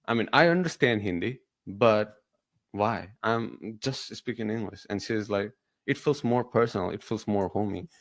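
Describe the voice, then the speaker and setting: Low-voiced man, chatty podcast host. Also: normal speed, no echo, studio quality. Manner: chatty, asks follow-up questions. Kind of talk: casual podcast conversation.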